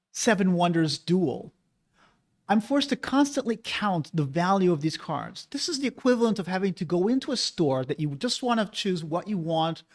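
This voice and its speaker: High-voiced man chatting about games. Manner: conversational, persuasive, asks rhetorical questions. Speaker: board game channel host. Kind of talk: casual opinion monologue.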